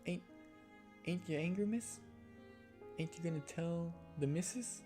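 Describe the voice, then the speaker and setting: A streamer voicing a timid, frightened young scullery maid girl who apologises haltingly in a visual novel. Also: mid-range voice, warm tone, faint music behind, lightly acted. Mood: frightened, pleading. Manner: apologetic, halting servant's speech.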